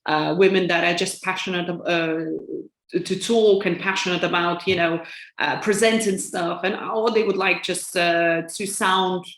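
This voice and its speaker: A woman's voice: fairly low pitch, steady tone, measured pace, a bit bright. A young woman from Lithuania with a Lithuanian accent, answering interview questions hesitantly, with many fillers and restarts. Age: young adult. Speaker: guest. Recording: echoey room.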